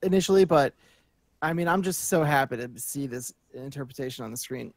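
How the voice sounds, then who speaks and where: A man's voice: medium pitch, a little fast, no room sound. A man chatting casually as a panelist, thinking aloud with hesitant phrasing. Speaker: panelist on a movie news talk show. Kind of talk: casual chat.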